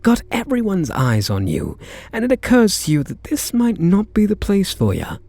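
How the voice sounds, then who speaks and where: A man's voice: sits high, wildly swooping pitch, normal speed, gravelly, darkish tone, no room sound, heavily acted. Man acting a flirty British kidnapper, swooping intonation. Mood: wistful, teasing. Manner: teasing, flirtatious, murmuring pet names. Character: charming, teasing male kidnapper, softening toward captive. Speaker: ASMR roleplay voice actor. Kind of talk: intimate ASMR roleplay acting.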